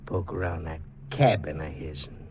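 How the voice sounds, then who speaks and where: A man's voice: rumbling low, steady tone, a little slow. An actor playing a jealous, murderous backwoods man with a rural Southern accent, brooding and menacing in 1940s radio style. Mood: vengeful menace. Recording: noisy, dull old 1940s radio recording.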